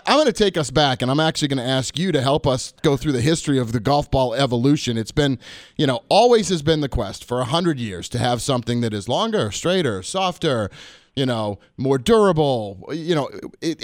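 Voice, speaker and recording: Jokey male radio host at a middle pitch, dry studio sound, chatty with the guest. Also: no echo. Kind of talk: radio interview.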